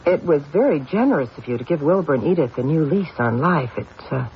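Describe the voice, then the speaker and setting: An actor playing a stubborn, intuitive police detective in an old-time radio mystery, insistent and deliberate. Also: male voice, a little high, lively intonation. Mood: politely probing. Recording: dull, hissy old radio recording.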